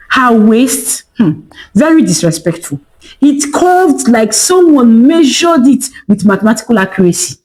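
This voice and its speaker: A Nigerian woman storyteller with a fairly high voice that swings expressively up and down. She narrates dramatically, landing short phrases with emphasis and tossing out rhetorical questions. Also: darkish tone, low-quality recording.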